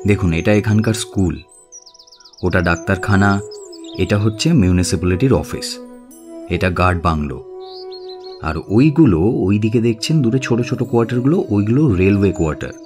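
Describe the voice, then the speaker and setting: Man acting low, very lively young student. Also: normal speed, pauses often, over music, close to an everyday voice. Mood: polite, helpful. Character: eager, polite, chatty young male college student. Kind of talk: Bengali radio drama acting.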